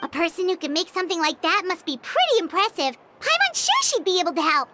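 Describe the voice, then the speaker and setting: Squeaky, very high little fairy. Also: female voice, quick pace, background noise. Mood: eager, curious. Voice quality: squeaky, childlike. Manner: perky, expressive, refers to self by name. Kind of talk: video game voice acting. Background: game music.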